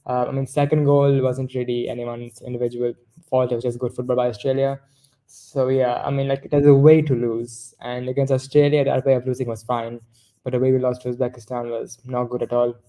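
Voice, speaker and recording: A man's voice: mid-range voice, little pitch movement, fairly quick, dark tone, low-quality recording. A man co-hosting a casual football discussion, rambling and often correcting himself mid-sentence. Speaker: football podcast co-host.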